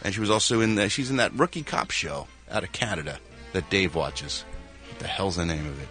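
A man's voice: low voice, fairly quick, soft background music. A man reading out entertainment news on a radio show and riffing playfully with the hosts. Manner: animated, jokey, riffing. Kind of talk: showbiz report and banter.

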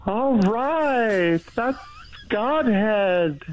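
A man's voice: high voice, lively intonation, extremely slow, full character voice. A man voicing a dim, smitten slacker who poses as a music professor in an improvised comedy bit, gushing dopily. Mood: smitten, dopey. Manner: dopey, gushing, repeats a catchphrase. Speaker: comic phone-in character.

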